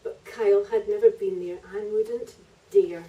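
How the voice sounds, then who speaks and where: A woman's voice: mid-range voice, fairly even intonation, measured pace, warm tone, dull audio. A woman author reading narrative prose from her own book at a live event, picked up by a distant microphone. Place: live festival reading in a library venue.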